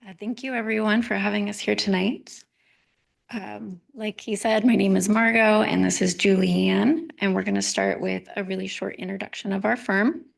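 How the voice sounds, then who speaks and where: A woman consultant presenting her firm at a council meeting, soft-spoken and quiet. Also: medium pitch, fairly even intonation, moderate pace, gravelly.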